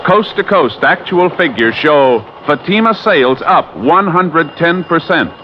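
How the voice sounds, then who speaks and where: A man's voice: on the high side, animated, a little slow, slight background noise. An American man announcing scripted 1950s radio advertising with emphatic sales delivery. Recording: muffled old radio recording.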